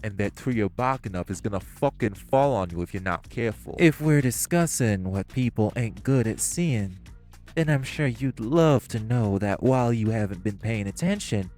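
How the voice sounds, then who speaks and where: A man voicing hostile crime bosses in an audio roleplay drama, profane and confrontational, with soft music behind. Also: medium pitch, natural voice. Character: hostile male crime bosses, magic gangsters.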